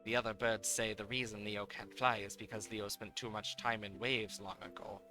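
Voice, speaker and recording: A man voicing a lonely, wistful young island boy from a video game, speaking softly and haltingly in simple phrases. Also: fairly low pitch, flat delivery, soft background music, voice pushed down. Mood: shy, curious. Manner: soft, halting, simple speech. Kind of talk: reading video game dialogue aloud.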